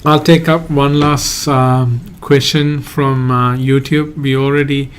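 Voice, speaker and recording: A man from Sri Lanka, a migration agent hosting a live Q&A and answering viewer questions in a halting, hedging way. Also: medium pitch, level tone, slight background noise. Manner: halting, hedges often, reads out viewer questions.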